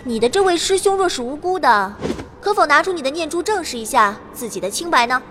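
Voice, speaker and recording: A young heroine recounting her own fantasy adventure. Her voice is high, earnest and expressive in scripted dramatic narration. Music plays beneath it. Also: brisk. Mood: suspicious.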